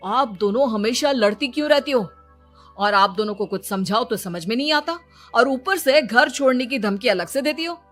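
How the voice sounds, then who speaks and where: A woman playing a bright, exasperated young cartoon husband. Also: a little high, lively intonation, moderate pace, soft background music. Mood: stern. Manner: exasperated, pleading. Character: harried young husband, exasperated and weary peacemaker. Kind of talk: cartoon dialogue.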